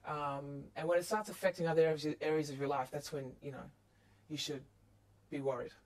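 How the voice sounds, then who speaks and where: A woman's voice: sits low, fairly even intonation, brisk, a little mellow, low-quality recording. A woman giving a candid, matter-of-fact interview in an indoor living room.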